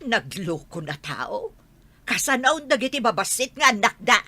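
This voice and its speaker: Sharp, scolding older Ilocano mother played by a woman. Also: on the high side, big pitch swings, normal speed, full character voice. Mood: grumbling. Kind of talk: radio drama acting.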